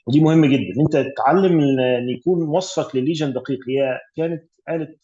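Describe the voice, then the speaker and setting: Egyptian man, radiology tutor, quick delivery, mellow dark tone, breathy, dull lo-fi call audio. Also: medium pitch. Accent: Egyptian Arabic. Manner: explanatory, mixes English medical terms, many fillers. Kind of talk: online exam revision teaching.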